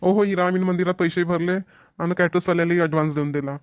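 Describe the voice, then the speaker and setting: A man's voice: sits high, fairly even intonation, fast, clear, resonant tone, no echo, close to an everyday voice. A man voicing a practical, easygoing village husband and father in a cartoon comedy, matter-of-fact, with a Vidarbha Marathi accent. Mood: practical, relieved. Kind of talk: amateur cartoon comedy dialogue.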